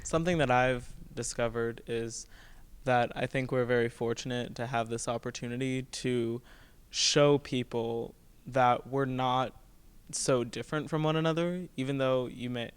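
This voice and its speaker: A young man in his late teens talks as a student actor in an interview. His mid-pitched voice is clean and very even in intonation, and his manner is informal and earnest. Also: a little slow, smooth. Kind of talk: casual earnest interview answer.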